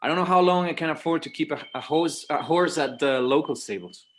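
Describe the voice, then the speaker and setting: A man's voice: fairly high pitch, fairly quick, slight background noise, lightly acted. A man acting as an exasperated but dutiful forty-year-old son, hesitant and weary, in a naturalistic table read. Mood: weary, pleading.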